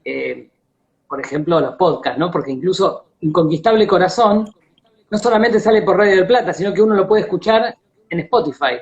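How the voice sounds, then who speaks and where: A man's voice: sits high, lively intonation, moderate pace, lo-fi sound. A man with an Argentine accent hosting a live online conversation, speaking earnestly and reflectively. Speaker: interviewer and host. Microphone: online video call.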